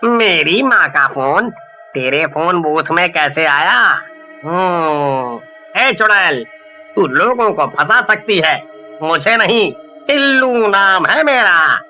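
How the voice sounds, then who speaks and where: A man's voice: sits high, animated, slow, bright, forceful tone, faint music behind, full character voice. A man voicing a cheeky, thieving village junk dealer in a horror story, brash and mock-innocent. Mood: defiant. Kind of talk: Hindi animated horror story acting.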